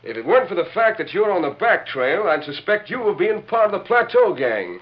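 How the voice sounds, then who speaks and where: Folksy cowboy lawman, high pitch, very animated, old radio western, muffled sound. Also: a little fast. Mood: wary. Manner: folksy frontier drawl and grammar, excitable. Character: excitable, folksy male western deputy. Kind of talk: 1940s radio western acting.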